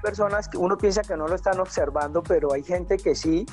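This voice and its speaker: A man's voice: sits high, brisk, darkish tone. Male interview guest, around forty, Colombian accent, casual conversational answers, faint music behind him. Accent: Colombian Spanish. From Santander, Colombia.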